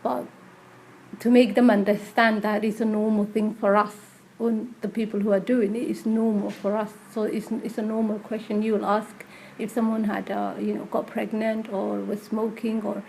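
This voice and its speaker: A woman's voice: mid-range voice, level tone, normal speed, vocal fry, darkish tone, a little hiss or hum, low-quality recording. A woman who works as a community support worker, speaking hesitantly with frequent fillers and restarts in a recorded interview.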